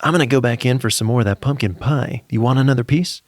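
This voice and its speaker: A man's voice: medium pitch, quick pace, no echo, natural voice. A man voicing a teasing, sarcastic uncle in a narrated story, with wisecracking delivery. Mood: casual, breezy. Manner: wisecracking, needling.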